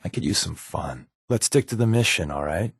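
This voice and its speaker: Casting: a sly, guarded male secret agent, game companion. Lowish voice, glib and wry, recorded very dry. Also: lively intonation, moderate pace, vocal fry, husky, no room sound, heavily acted. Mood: wry, teasing. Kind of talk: video game companion dialogue.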